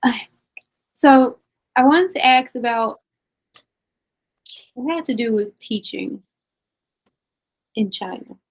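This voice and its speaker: A young woman from the United States hosts a relaxed interview, her voice sitting a little higher than most. She asks questions in a loose, easygoing way and answers with quick affirmations. She is heard over a video call. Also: poor audio. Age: young adult. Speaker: interview host. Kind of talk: casual interview.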